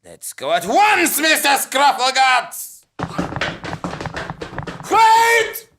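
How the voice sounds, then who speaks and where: A man's voice: very high-pitched, wildly swooping pitch, extremely slow, background noise, full character voice. A man playing a melodramatic, lovelorn, disgraced male clerk in an audio comedy drama, theatrical and grandiose. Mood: grandiose scheming. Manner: theatrical, grandiose, swooping between murmurs and cries.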